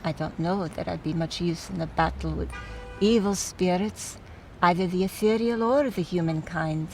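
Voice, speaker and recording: A woman acting in an audio drama as a wry, skeptical mourner, talking in a measured, conversational way over background noise. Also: lowish voice, vocal fry, faint music behind, rough recording. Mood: wry, pensive.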